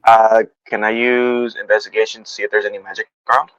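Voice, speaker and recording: A man's voice: lowish voice, level tone, fast, fairly bright tone, dry recording, muffled recording. A man taking part in a tabletop role-playing game as a player, talking casually about his moves and rolls.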